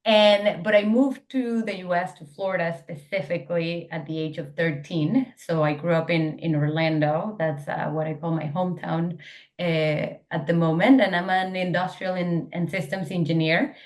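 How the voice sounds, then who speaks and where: A woman's voice: on the low side, steady tone, moderate pace, darkish tone. A young woman from Venezuela, a startup founder, answering interview questions in an eager, talkative way with many fillers and restarts. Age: young adult.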